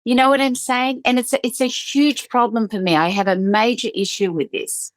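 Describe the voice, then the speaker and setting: A woman's voice: on the high side, steady tone, moderate pace, dry recording. A woman esthetician hosting a podcast, talking with emphatic passion and doubling up words as she presses her points. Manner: emphatic, fired-up, repeats words when stressing a point.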